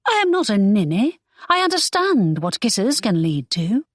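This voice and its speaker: A woman voicing an innocent, spirited young lady in an audiobook, speaking earnestly in a raised, lively voice. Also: mid-range voice, a little slow, dry recording, clean studio sound, pitched above the performer's own voice. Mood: earnest indignant.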